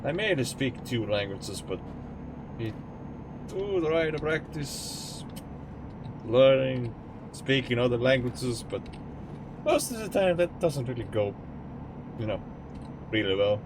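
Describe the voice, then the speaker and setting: A young man with an Estonian accent chats with his stream viewers in a rambling, jokey way, at a middling pitch. The steady noise of a driving truck sits clearly behind his voice. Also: slow, long pauses. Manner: rambling and jokey, answering chat. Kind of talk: casual chat with live chat while gaming.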